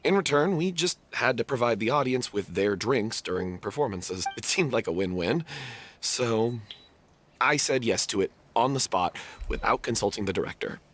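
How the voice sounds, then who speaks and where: Game role: self-blaming young man, husky, emotionally wide-ranging, halting. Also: medium pitch, expressive, a bit bright. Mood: regretful recounting. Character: guilt-ridden young male theater troupe member confessing a mistake. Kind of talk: video game voice acting.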